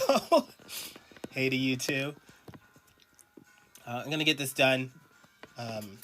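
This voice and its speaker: Man, bright stuffy voice, casual rambling drawing talk, faint music under it. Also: medium pitch. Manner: rambling, many ums and uhs. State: congested from allergies. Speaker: artist streaming a drawing session.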